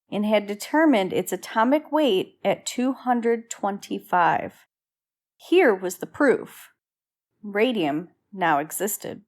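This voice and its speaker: A woman narrates an old-time radio drama with a scripted, dramatic delivery. Her voice is medium in pitch, telling the story as a narrator. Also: a little mellow.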